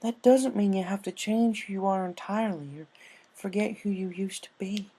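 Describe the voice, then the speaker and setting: A man's voice: sits high, normal speed, mellow, slightly rough, pitched above the performer's own voice. A man voicing a protective adult female farm pony in a fan story, folksy, firm and plain-spoken. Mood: gently firm.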